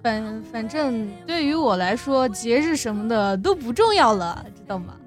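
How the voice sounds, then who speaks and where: Very high-voiced, giggly woman co-host. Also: sing-song, normal speed. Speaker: radio show co-host. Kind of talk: casual comic anecdotes and banter. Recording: music bed under the talk.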